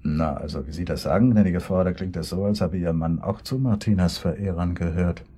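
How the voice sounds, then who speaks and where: An actor playing a polite investigator in a German radio drama, questioning hesitantly with quiet scepticism. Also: male voice, deep voice, brisk, close to an everyday voice. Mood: courteous, sceptical. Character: polite, probing male investigator questioning a witness.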